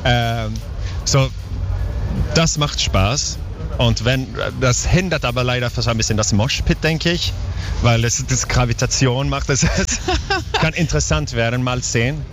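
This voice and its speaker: Swedish guest singer, forties, halting German, festival band behind. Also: male voice, mid-range voice. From Sweden. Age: 40s. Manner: halting non-native German with restarts and joking asides. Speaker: touring rock singer, guest. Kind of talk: casual interview chat. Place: outdoor music festival grounds. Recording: live music loud behind.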